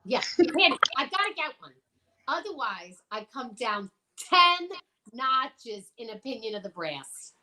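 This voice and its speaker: A woman playing a frazzled, sharp-tongued department store employee in an amateur old-time radio drama, emphatic, slangy and exasperated. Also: fairly high pitch, sing-song, measured pace, full character voice, rough recording. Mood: pleading.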